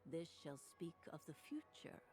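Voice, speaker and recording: A woman's voice: low voice, big pitch swings, normal speed, background noise. A woman voicing a poised, mysterious tarot reader in a video game, with a formal, theatrical delivery. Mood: intrigued, mysterious.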